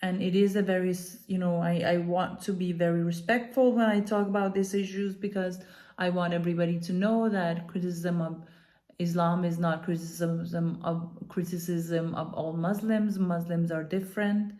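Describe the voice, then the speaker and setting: Mellow-voiced woman from Iran, earnest and halting. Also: on the low side, fairly even intonation. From Iran, moved to Denmark as a child. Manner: earnest, halting, deliberate emphasis. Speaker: commentator, activist speaker. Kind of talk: talking to camera, unscripted opinion commentary.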